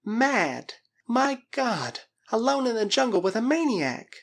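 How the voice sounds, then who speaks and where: A man's voice: big pitch swings, fairly quick, exaggerated voice. A man voicing an anguished young woman held captive in an adventure storybook, melodramatic, pleading and full of loathing. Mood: horrified. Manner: pleading, melodramatic, high shifted pitch.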